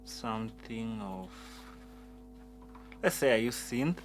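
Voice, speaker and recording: A man's voice: lowish voice, lively intonation, takes it slowly. A man teaching keyboard settings step by step, often repeating words as he talks. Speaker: piano instructor. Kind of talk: keyboard tutorial. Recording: keyboard music behind voice.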